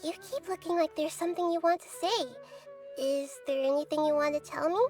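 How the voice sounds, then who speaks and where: A woman playing a gentle, wise young girl deity in a video game, soft-spoken, thoughtful and measured. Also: high-pitched, faint music behind, natural voice. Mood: gently encouraging.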